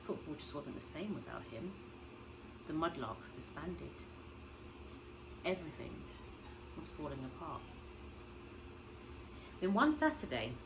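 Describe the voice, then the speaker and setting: A woman teacher reading a storybook aloud expressively to children, pausing to explain and ask simple questions. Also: sits low, animated, background noise.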